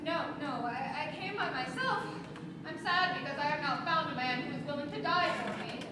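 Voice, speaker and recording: Woman acting as a proud naive goddess, very high pitch, formal declamation, stage play, echoey hall. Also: normal speed, lightly acted. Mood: earnest. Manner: formal, declamatory, earnest phrasing. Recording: echoey stage hall, distant mic, noisy.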